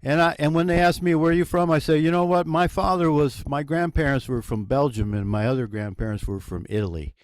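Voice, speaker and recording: A man from the United States, hosting his own channel in a solo monologue, talking slowly and deliberately with a preachy emphasis and halting restarts. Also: fairly high pitch. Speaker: channel host. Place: cold home running on a generator.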